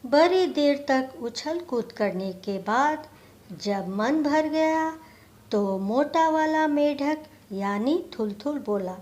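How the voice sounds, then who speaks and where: A woman's voice: high voice, expressive, takes it slowly, a little mellow. A woman narrating a scripted moral story for children.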